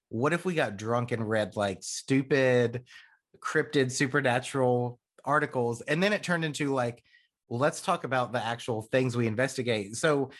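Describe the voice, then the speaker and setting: A man co-hosting a relaxed podcast conversation, his voice sitting in a middle register. He rambles and circles back on himself, with plenty of ums and restarts. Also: mid-range voice. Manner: rambling, many fillers and restarts. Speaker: podcast host. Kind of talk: casual chat.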